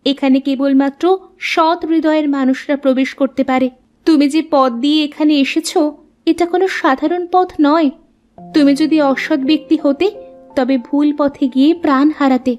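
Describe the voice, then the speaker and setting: A woman's voice: high voice, fairly even intonation, moderate pace, a little mellow, soft background music, lightly acted. A woman voicing a stern but benevolent water fairy in a cartoon, with an imperious, formal manner. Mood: solemn warning. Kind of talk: cartoon voice acting.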